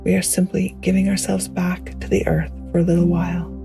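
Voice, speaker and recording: A woman guiding a meditation in a gentle, hushed, poetic style, with a soft, breathy voice that often nears a whisper. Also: fairly low pitch, level tone, over music. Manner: gentle, hushed, unhurried, soothing. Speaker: meditation guide. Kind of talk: slow poetic guided meditation narration.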